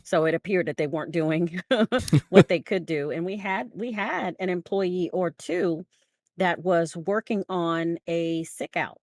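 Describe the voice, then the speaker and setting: American female podcast co-host, notably low voice, close dry sound, emphatic conversational storyteller. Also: a little slow, darkish tone, no echo. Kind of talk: conversational discussion.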